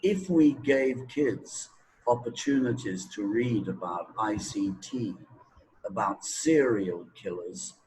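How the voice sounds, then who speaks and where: Slow-talking opinionated man in an interview. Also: lowish voice, expressive, darkish tone, slight background noise, rough recording. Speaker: English teaching expert being interviewed. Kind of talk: conversational interview answers.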